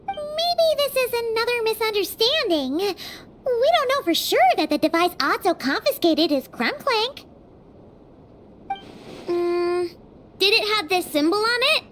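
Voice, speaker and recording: Very high, bright little girl inventor. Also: expressive, a little slow, slight background noise, full character voice. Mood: earnest, hopeful. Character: small feisty young girl inventor, loyal to her bot. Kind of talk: video game voice acting. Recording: game music behind the voice.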